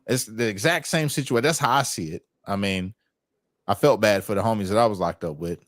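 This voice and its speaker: American guy on a livestream, lowish voice, street slang, super dry close sound. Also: no echo. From United States. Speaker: livestream host. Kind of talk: casual livestream political chat.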